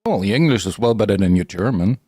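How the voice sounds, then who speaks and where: A man's voice: on the low side, lively intonation, quick pace, dark tone, breathy edge, no room sound, studio quality. A man from Europe chatting casually, blunt and teasing, sometimes hesitating over words. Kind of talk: casual chat.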